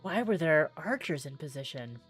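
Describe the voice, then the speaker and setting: A woman giving a dramatic, deliberate read-aloud of narrative game text, pausing for effect. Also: low-pitched, big pitch swings, breathy edge. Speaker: streamer playing a visual novel demo. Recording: music playing under the voice.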